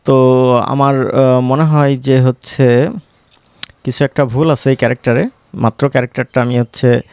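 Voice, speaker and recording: A man's voice: mid-range voice, little pitch movement, moderate pace, a little mellow, smooth, no echo. A man with a Bangladeshi Bengali accent giving an informal tutorial, conversational and often checking in with short question tags. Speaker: tutorial instructor. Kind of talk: informal screen-recorded software tutorial.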